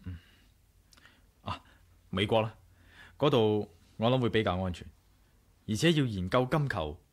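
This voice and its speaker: A man's voice: fairly low pitch, expressive, a little slow. A man with a Hong Kong Cantonese accent playing a curious, decisive adventurer in a 1980s radio drama. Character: determined, curious male adventurer, brooding and decisive.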